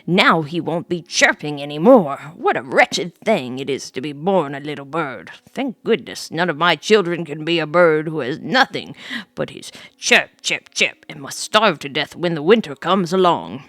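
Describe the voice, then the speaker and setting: A woman voicing a blunt, dismissive male mole in a fairy tale, loud and emphatic. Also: deep voice, animated, moderate pace, dry recording. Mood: scornful.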